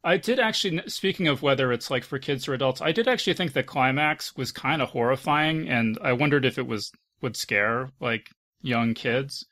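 A man's voice: medium pitch, moderate pace, pushed and bright. A man hosting a casual podcast panel, speaking in an informal, hesitant way. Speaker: podcast host.

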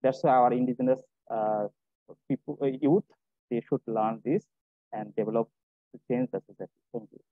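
Halting man on a tinny call. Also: medium pitch, no echo. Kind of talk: panel presentation in non-native English. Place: indoor room, on a video call. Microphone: wired earphones on a video call. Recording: tinny, phone-like video-call audio.

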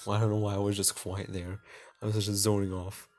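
A man's voice: fairly low pitch, level tone, moderate pace, a little mellow, soft background music. A man hosting a casual game playthrough, rambling and stumbling as he reads aloud. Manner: rambling, stumbles over text while reading. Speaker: gaming video host.

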